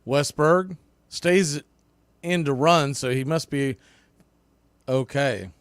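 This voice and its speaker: A man calling baseball play-by-play live, in clipped pitch-by-pitch phrases. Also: slow pace, clean tone, dry recording. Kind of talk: live sports commentary.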